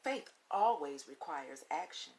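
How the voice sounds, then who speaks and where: A woman reading a children's book aloud as a storytime host, with deliberate, instructive phrasing and emphatic pauses, on a recording with audible hiss. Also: deep voice, expressive, vocal fry, darkish tone. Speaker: read-along storytime host. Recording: audible hiss and background noise.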